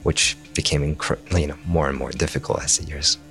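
A man's voice: a bass voice, fairly even intonation, normal speed, a bit bright, soft background music. A young man born in Jordan who grew up speaking Arabic, a Spanish teacher answering interview questions thoughtfully and restarting his words often.

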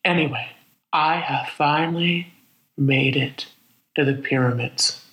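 A man acting in a radio play as a lonely, weary survivor of a disaster, musing aloud in a halting, conversational monologue. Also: fairly high pitch, pushed and bright, reverberant, poor audio. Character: lonely, weary, reflective male survivor wandering after disaster. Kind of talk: dramatic monologue, spoken like an audio diary.

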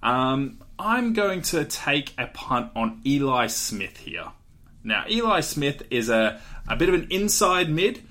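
A man's voice: mid-range voice, very animated, slow pace, bright, forceful tone. A young Australian man co-hosting a podcast, chatting casually through a draft with fillers and frequent laughs. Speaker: podcast co-host. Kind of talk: casual chat, draft analysis.

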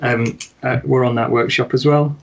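A man talking as a guest in a casual chat, rather monotone, measured and hesitant with many restarts. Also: mid-range voice, creaky. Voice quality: somewhat monotone. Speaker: guest, wedding photographer.

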